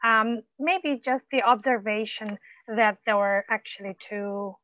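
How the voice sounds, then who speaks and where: Woman mentor giving measured coaching feedback. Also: fairly high pitch, no room sound, lo-fi sound. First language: not English. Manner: supportive, measured, frequent ums.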